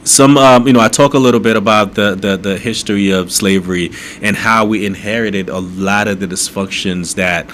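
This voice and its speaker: A man from Haiti with a lowish voice, talking earnestly as a podcast guest. He keeps checking in with a "right?" and restarts his sentences as he goes. A faint noisy hum sits behind him. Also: fairly even intonation, normal speed, hardly any pauses, fairly bright tone. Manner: earnest, repeats "right?" often, stumbles and restarts. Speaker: guest author. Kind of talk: conversational podcast interview.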